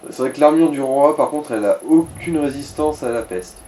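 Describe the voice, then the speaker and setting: A man's voice: a little high, a little fast, dark tone, a little hiss or hum. A man giving informal, sweary gaming commentary in speech, coaching the player along. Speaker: let's play co-commentator.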